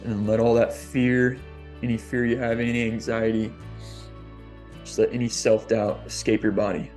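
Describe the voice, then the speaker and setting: A man, a fitness coach, leading a guest's guided meditation on a podcast, slow and deliberate. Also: mid-range voice, level tone, darkish tone, faint music behind.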